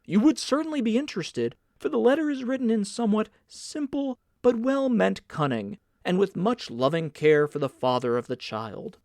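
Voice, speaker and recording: A man's voice: high voice, lively intonation, moderate pace, vocal fry, hardly any pauses, a little mellow, no room sound, clean studio sound, voice pushed up. A man voicing a pompous older senator in an audiobook, speaking in an emphatic, declamatory, oratorical way. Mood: lofty, emphatic. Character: pompous, theatrical senator uncle, older man, grandly indignant. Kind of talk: dramatic audiobook character reading.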